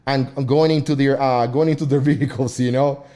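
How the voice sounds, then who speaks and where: A man's voice: mid-range voice, fairly even intonation, normal speed, background noise. A man co-hosting a casual talk show, rambling and repeating himself as he tells stories. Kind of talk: casual radio chat.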